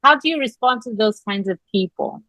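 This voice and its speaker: A woman's voice: a little high, lively intonation, a little fast, bright, forceful tone, no echo, low-quality recording. A woman hosting a podcast who asks rambling, casual questions with many fillers. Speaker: podcast host. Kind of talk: podcast interview.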